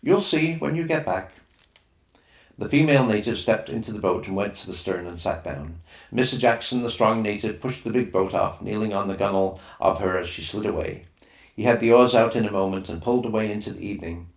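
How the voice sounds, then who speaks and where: A man's voice: on the low side, quick pace. A man reading a children's adventure novel aloud as scripted narration. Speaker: audiobook reader. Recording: roomy, echoing room sound.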